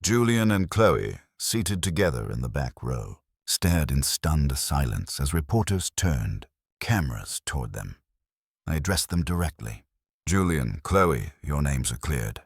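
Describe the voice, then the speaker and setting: A man's voice: extremely low pitch, husky, no echo, studio quality. A man reading a scripted dramatic story as narrator, in a solemn, formal way.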